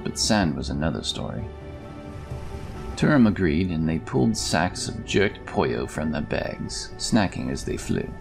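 Low voiced guy narrating fantasy tale, very animated, clear music behind. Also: unhurried. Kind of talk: scripted fantasy story narration.

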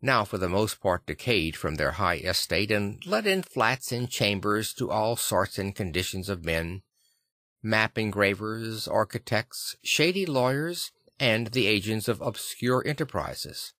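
A man reading classic fiction as an expressive audiobook narrator. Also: lowish voice, unhurried, dry recording, clean studio sound. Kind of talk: expressive scripted literary narration.

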